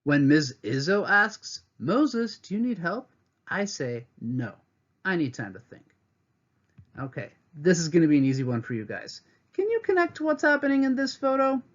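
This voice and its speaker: A man reads a picture book aloud to young students in a lively, sing-song way, his voice riding high and swooping widely. He breaks off to talk to the class as their teacher. The sound is a little dull and lo-fi, like a webcam at a desk. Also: normal speed. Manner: expressive storybook reading with teacherly asides. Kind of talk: read-aloud lesson for children.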